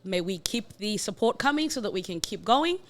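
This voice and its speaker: A playful, self-assured woman co-hosting a podcast on location at a community centre. She jokes freely and keeps chiming in with quick affirmations. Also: medium pitch, lively intonation. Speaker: podcast co-host. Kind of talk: casual podcast chat.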